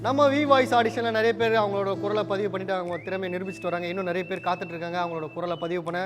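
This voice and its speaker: A show presenter delivers scripted links at a quick pace. Loud music plays behind the voice. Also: medium pitch, fairly even intonation.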